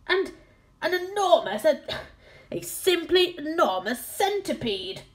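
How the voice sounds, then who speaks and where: A flustered old sea captain stammers out his alarm in loud, excited bursts, as a woman reads him. The voice swoops up and down with lively pitch. It is a storybook figure, more bluster than menace. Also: a little slow. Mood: frantic.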